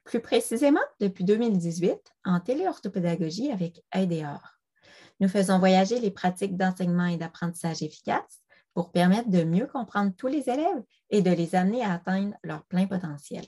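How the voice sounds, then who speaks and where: A woman's voice: medium pitch, lively intonation, a little fast, mellow, no echo. A woman who hosts a podcast and works as a learning specialist. She asks friendly questions and fills gaps with "euh". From French Canada. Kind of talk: conversational interview. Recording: dull, dry, lo-fi sound.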